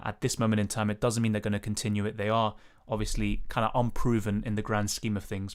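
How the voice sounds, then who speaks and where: A young man with a slightly raspy voice, casually answering chat questions on a live stream with lots of fillers. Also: medium pitch. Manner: casual, hedging, many fillers. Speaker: fantasy football streamer.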